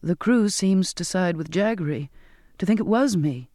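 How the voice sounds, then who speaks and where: A man's voice: sits high, lively intonation, moderate pace, darkish tone, no echo, studio quality. A man voicing a troubled, earnest, well-bred young girl in an audiobook, with a hesitant, formal manner. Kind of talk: audiobook character dialogue.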